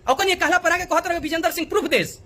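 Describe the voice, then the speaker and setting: Indignant man with a bright, forceful voice. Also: very high-pitched, fast talker. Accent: Bhojpuri. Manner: indignant, pleading, repetitive. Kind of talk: agitated direct appeal in a video.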